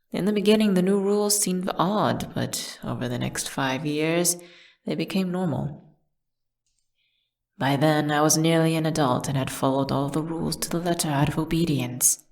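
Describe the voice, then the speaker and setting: A woman playing a world-weary, guilty witch prisoner confessing her story in a dramatic ASMR audio roleplay, measured and theatrical with breathy, whispery dips. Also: deep voice. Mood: wistful.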